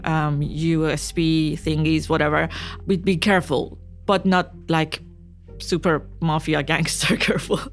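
A young woman from Iran telling her own story in a relaxed, chatty way, with fillers and small laughs. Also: low voice, a little slow, faint music behind. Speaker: interviewee. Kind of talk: personal storytelling interview.

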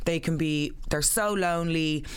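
Woman, thirties, comedian, low smooth voice, wry. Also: normal speed. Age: 30s. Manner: wry, storytelling, deadpan jokes. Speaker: comedian guest. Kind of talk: casual chat.

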